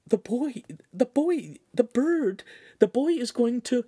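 A stunned young girl, as a male narrator puts her on, pitched well above his own voice and stumbling in halting, dazed phrases. She sounds shaken and flat. The recording is dry and close. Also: slightly rough, dry recording, full character voice. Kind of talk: audiobook character voice.

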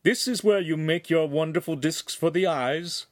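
A haughty, lecherous young lord from a historical audiobook, acted by a male narrator. He sneers and leers, quick to temper, with his pitch lifted and the sound dry and close. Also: very animated, dry recording. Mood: haughty, leering. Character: arrogant, lecherous, quick-tempered young Italian nobleman on horseback.

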